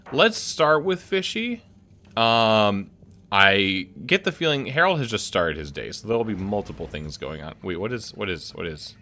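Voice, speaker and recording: A man's voice: fairly low pitch, sharp tone. Chatty man commenting on a game. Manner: chatty, musing aloud. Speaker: gaming video host. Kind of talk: casual let's play commentary.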